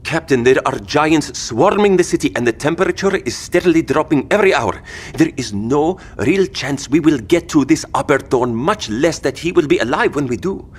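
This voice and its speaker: A man's voice: on the high side, animated, normal speed, husky. A man playing an anxious, argumentative civilian father at his wit's end in an audio drama, speaking articulately. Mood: frustrated, challenging. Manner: pleading, articulate arguing.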